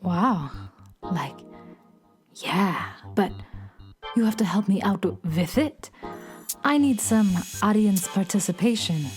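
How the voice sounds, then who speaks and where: A woman's voice: medium pitch, expressive, takes it slowly, long pauses, a little mellow, soft background music. A woman playing a laid-back beatnik cool cat from the moon in a children's radio play, talking in hip, drawling slang.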